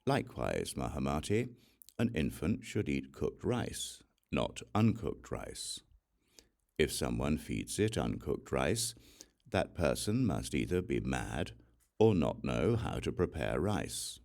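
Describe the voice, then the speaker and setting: A man reading scripture as audiobook narration, solemn, measured and deliberate in his delivery. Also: extremely low pitch, very animated, vocal fry, a little mellow. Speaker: audiobook reader.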